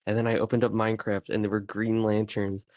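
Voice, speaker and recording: A young man on a podcast, improvising rambling comic stories with an earnest deadpan. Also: lowish voice, fairly quick, dry recording, studio quality. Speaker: podcast member. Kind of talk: improvised deadpan comedy storytelling.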